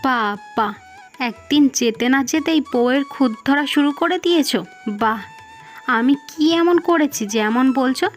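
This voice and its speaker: A woman acts out a vain, spoiled young bride from a cartoon moral story. The voice is high, with a dismissive, petulant edge. Music runs underneath. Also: moderate pace, natural voice. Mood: indignant, petulant. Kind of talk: Bengali moral-tale cartoon voice acting. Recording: music behind the voice.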